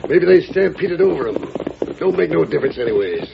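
A man's voice: fairly high pitch, very animated, fairly quick, vocal fry, a crowd in the background, full character voice. A man playing a callous, scheming cattle rustler with a western drawl in 1940s radio style. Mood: sly, callous. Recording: noisy, muffled old radio broadcast.

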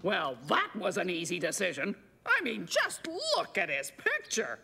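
A woman's voice: on the low side, huge pitch swings, a little slow, vocal fry, heavy background noise, exaggerated voice. A woman playing a stern, dismissive parole board official in a cartoon, formal and disapproving. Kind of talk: cartoon voice acting.

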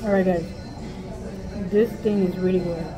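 A middle-aged woman giving a casual food review to the camera, halting and repeating words as she goes. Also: on the low side, steady tone, rough recording. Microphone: camera or phone at arm's length. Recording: music behind the voice.

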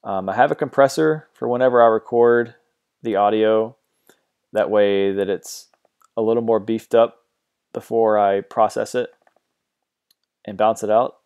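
A man with a fairly low voice explains a music-software routing setup in a casual, halting way. He stops and restarts often and fills gaps with ums. It sounds like a mono mic in a home recording setup. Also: fairly even intonation, smooth. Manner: hesitant, many ums and restarts. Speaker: tutorial host.

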